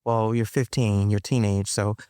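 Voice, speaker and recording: A woman's voice: a bass voice, fairly even intonation, normal speed, dark tone, clear, resonant tone, dry recording, clean studio sound. A woman author and performer answering interview questions in a loose, rambling way, full of ums and restarts, with a playful streak. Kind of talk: casual interview talk.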